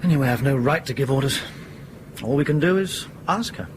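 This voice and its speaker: Man acting a blunt reluctant captain in a radio play, fed up, average pitch, some hiss behind. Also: lively intonation, brisk, lightly acted. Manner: blunt, exasperated. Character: gruff, sceptical, reluctant male captain.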